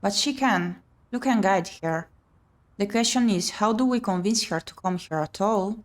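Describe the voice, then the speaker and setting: A woman streamer reading a visual novel aloud in an expressive, theatrical way. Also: medium pitch, normal speed. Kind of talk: dramatic read-aloud narration. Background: game music.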